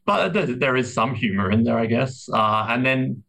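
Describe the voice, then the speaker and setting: Mid-pitched man in casual podcast discussion. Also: expressive, normal speed, vocal fry, a bit bright. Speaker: podcast co-host.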